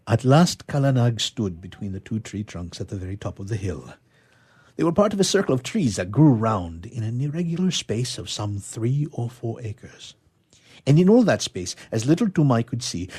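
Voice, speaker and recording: A man's voice: medium pitch, mellow, breathy edge. A man who works as a radio theatre player, telling and explaining a story. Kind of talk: explaining and expressive storybook reading.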